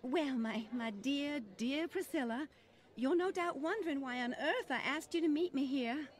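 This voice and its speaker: Radio drama role: actress as high-pitched Southern matron, syrupy polite, effusive, condescending to those who work for her. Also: moderate pace, close to an everyday voice. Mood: gushing. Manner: effusive, overpolite, fluttery. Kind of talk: old-time radio acting.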